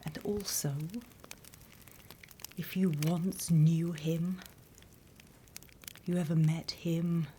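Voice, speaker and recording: A British woman voicing a sly, wryly menacing demon instructor in a hushed, conspiratorial ASMR roleplay whisper, close to the microphone. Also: on the low side, flat delivery, a little hiss or hum. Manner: measured, hushed, dryly conspiratorial.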